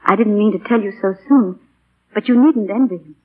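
An actress playing a prim, devout young English wife, reserved and dutiful, in 1940s radio drama style. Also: female voice, medium pitch, brisk, breathy edge, narrow, tinny audio, lightly acted. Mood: earnest, modest. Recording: band-limited old 1940 radio broadcast recording.

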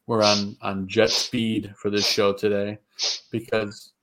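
Joking host with a sniffle. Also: male voice, on the low side, monotone, slow pace, a bit bright, poor audio. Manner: joking, runs the segments. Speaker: podcast host. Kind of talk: casual sports chat.

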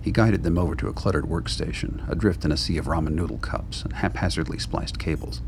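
A man reading scripted science-fiction narration for a radio play in a measured storytelling way. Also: very deep voice, creaky, noisy background.

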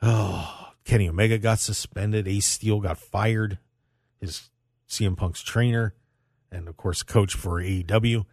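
A man hosting a casual sports talk radio show in an animated, emphatic way with dramatic pauses. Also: lowish voice, no room sound, clean studio sound. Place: radio studio.

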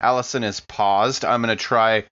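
A man playing a tabletop role-playing game, improvising casually and haltingly. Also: lowish voice, fairly even intonation, fairly bright tone, muffled recording. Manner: hesitant, halting. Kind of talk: improvised casual in-character play.

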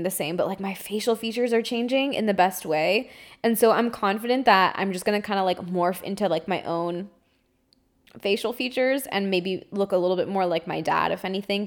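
A woman in her twenties talks through a personal story in a relaxed, candid way. Her voice sits at an ordinary middle pitch, and she keeps things loose with fillers and small laughs as she goes. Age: 20s. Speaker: podcast host. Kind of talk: casual storytime monologue.

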